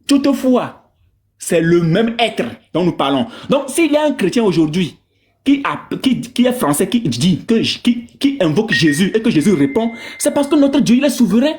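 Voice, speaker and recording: A man from Togo, giving polemical religious commentary in an animated, mocking way, repeating phrases for emphasis. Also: high-pitched.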